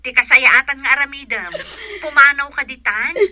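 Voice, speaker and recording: Lady doing a worried bestie in a radio drama, high voice, really sharp and bright, urging, sympathetic. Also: normal speed. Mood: concerned. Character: concerned, outspoken young female friend. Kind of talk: serial radio drama acting in Ilocano.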